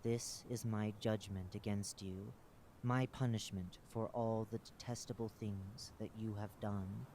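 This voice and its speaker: A man's voice: fairly low pitch, fairly even intonation, unhurried, dark tone, a little hiss or hum, rough recording. A man voicing a solemn, unseen divine master who pronounces judgment in grave scriptural words. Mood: stern judgment.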